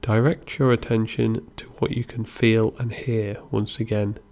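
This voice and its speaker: Slow, creaky-voiced man guiding meditation. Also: lowish voice, flat delivery. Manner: unhurried, gentle instruction with pauses. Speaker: guided meditation instructor. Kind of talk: guided body scan meditation narration.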